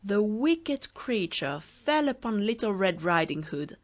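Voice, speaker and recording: Woman narrator, fairy tale audiobook, slow and clear, lively rising-and-falling pitch. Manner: slow, clear, expressive storytelling. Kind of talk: children's story narration.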